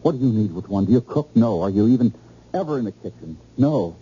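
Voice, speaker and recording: A man's voice: lowish voice, expressive, quick pace, dark tone, husky. An actor playing an anxious, jealous, well-to-do stockbroker in an old-time radio drama, agitated and repeating his words insistently. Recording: muffled, noisy old radio recording.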